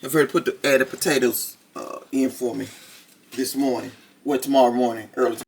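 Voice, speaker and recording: A woman with a raspy voice, chatting casually to her viewers in a home kitchen cooking vlog. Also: very deep voice, steady tone, fast talker. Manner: chatty, talks straight to viewers. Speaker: cooking vlogger.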